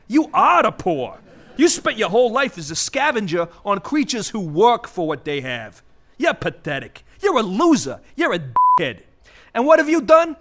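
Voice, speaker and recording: A man's voice: high voice, a bit bright, heavily acted. A man playing a bitter, sarcastic vulture with thwarted ambitions, ranting theatrically in a comic audio play. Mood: bitter, contemptuous.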